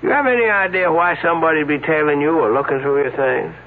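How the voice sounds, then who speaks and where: An actor playing a folksy, slow-witted small-town deputy sheriff with a drawl, stammering and repeating words, in a 1970s radio drama. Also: male voice, on the high side, lightly acted. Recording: lo-fi phone-band radio recording with hiss.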